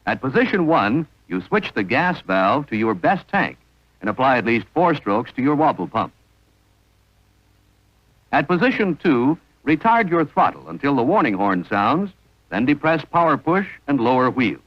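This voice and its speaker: A man's voice: medium pitch, big pitch swings, moderate pace, no echo, highs cut off. A man narrating an instructional training film in a formal, precise manner on a vintage soundtrack.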